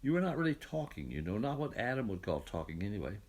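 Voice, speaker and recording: Storybook God, played by a male reader: calm gentle authority, lively intonation, slightly rough low voice, explaining patiently. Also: fast. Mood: reassuring, explanatory. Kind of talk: children's Bible storybook reading.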